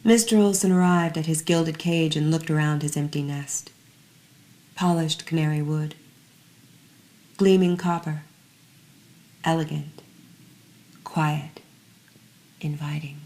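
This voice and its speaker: A woman with a somewhat low voice reads a piece of fiction as its narrator. Her delivery is scripted and measured, with a dramatic storyteller's phrasing that sometimes drops to a hush.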